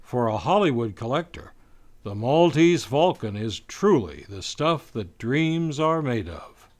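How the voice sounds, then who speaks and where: A man's voice: medium pitch, big pitch swings, unhurried. A man hosting an old-time radio hour, reading scripted announcements in a formal, theatrical showman's delivery. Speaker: radio show host and announcer. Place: radio studio.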